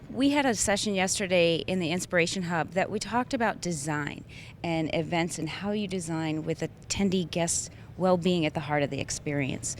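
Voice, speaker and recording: Earnest woman with a lowish voice. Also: slight background noise. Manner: earnest storytelling with light laughs. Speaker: guest, wellbeing leader. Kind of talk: interview answers. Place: trade show venue.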